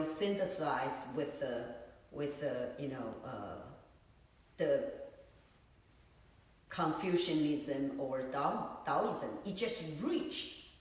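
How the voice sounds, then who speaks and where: Woman, Buddhist teacher, dharma talk, slow, searching for words, phone on tripod, distant mic, long reverb. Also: fairly low pitch, a little mellow. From Taiwan. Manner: unhurried, searching for words, many "you know"s. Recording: echoey room, far from mic.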